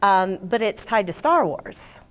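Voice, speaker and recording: A woman hosting a casual interview chat, backing her guest with quick affirmations. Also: medium pitch, expressive, fairly bright tone. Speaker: talk show host.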